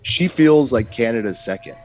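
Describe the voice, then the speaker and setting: A man's voice: mid-range voice, lively intonation, moderate pace. A man co-hosting a commentary livestream who reacts to the host and reads articles aloud.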